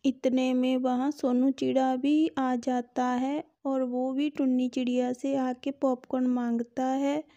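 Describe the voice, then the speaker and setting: A woman's voice: high-pitched, flat delivery, measured pace, few pauses, warm tone, highs cut off. A woman narrating a scripted children's story.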